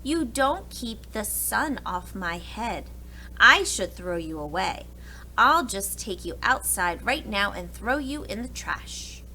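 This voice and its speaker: A woman acts out a soft-hearted old storybook uncle who grumbles and frets. The delivery is emphatic and bright, swinging widely in pitch. There is faint noise on the recording. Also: very animated, takes it slowly, full character voice, low-quality recording. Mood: fretful, dithering. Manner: exclamatory, emphatic. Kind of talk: storybook character voice.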